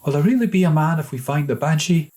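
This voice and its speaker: A man's voice: on the high side, lively intonation, quick pace, dark tone, pitched above the performer's own voice, close to an everyday voice. A man voicing a timid young boy, an easily frightened little brother in a storybook tale. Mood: timid, curious.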